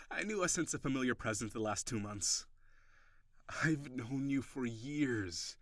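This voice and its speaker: Man acting a young male pirate of noble birth, audio drama, teasing, easily flustered, fond, expressive mid-range voice, dry recording. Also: mid-range voice, a little slow, no echo. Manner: teasing, flustered protests with hesitations. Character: young runaway nobleman turned pirate, playful, defensive, fond. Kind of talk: intimate audio roleplay acting, one side of a dialogue.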